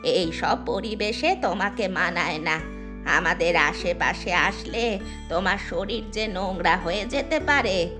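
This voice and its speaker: A woman's voice: on the low side, measured pace, lightly acted. A woman playing a blunt village auntie in a moral-story cartoon, sarcastic and reproachful. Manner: scolding, sarcastic. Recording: music clearly behind the voice.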